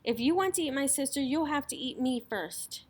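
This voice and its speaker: A woman's voice: sits high, normal speed, pitched above the performer's own voice, natural voice. A woman voicing a smug, rule-obsessed young boy in a storybook, emphatic and exclaiming. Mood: desperate.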